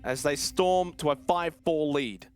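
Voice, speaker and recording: A man's voice: fairly high pitch, measured pace, soft background music. A man doing live commentary and analysis of a competitive video game match in a conversational way. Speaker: esports commentator. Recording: clear game gunfire and clicks behind. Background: video game gunfire.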